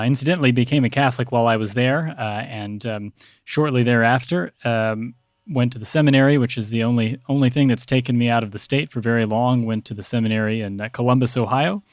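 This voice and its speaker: Measured American man, thoughtful priest, dry sound. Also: medium pitch, steady tone, dry recording. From Texas, United States. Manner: measured, hesitant, thoughtful phrasing. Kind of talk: reflective interview talk.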